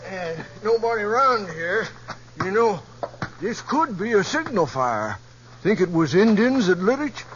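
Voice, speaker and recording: An American actor playing a nosy old cowhand sidekick with a folksy Western drawl, in a 1950s radio western. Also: male voice, high voice, sing-song, unhurried, fairly bright tone, exaggerated voice. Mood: wondering. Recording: muffled, faintly hissy 1950s radio broadcast.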